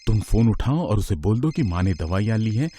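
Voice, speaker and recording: A man playing a practical middle-aged father in a moral story, speaking in a measured, firm way. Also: on the low side, animated, dark tone, slight background noise, natural voice. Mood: calm, concerned.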